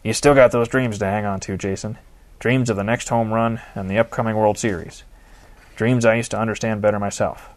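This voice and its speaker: A male narrator voicing a gruff, ornery older man with a folksy way of talking. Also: lowish voice, fairly even intonation, a little fast, close to an everyday voice. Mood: earnest. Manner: gruff, loud, folksy banter.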